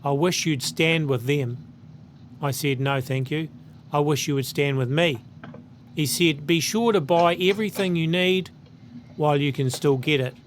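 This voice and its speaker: A man's voice: on the high side, moderate pace, slight background noise. A man with a New Zealand accent, hosting his channel and earnestly reading a letter aloud.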